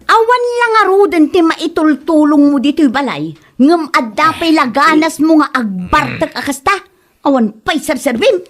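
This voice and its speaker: A woman playing a sharp-tongued, defiant wife who complains with exasperation in a comedic audio drama. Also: high-pitched, very animated, normal speed. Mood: scornful.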